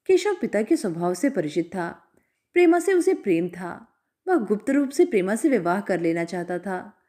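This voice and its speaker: A woman narrating a classic literary short story in an expressive, measured reading. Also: medium pitch.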